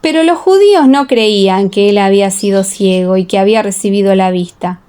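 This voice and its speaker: Woman narrating scripture in measured tones. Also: medium pitch, mellow, smooth. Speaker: audiobook narrator.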